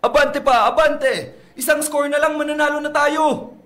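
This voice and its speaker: A man's voice: very high voice, steady tone, moderate pace, fairly bright tone. A man playing an encouraging, earnest volleyball coach in a radio drama, emphatic and persuasive. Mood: urgent, excited.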